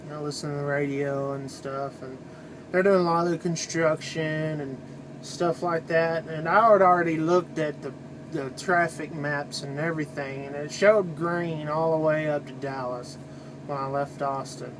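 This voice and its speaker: A middle-aged American man, a truck driver, rambling casually in a halting, conversational way to his vlog. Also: fairly high pitch, steady tone, background noise.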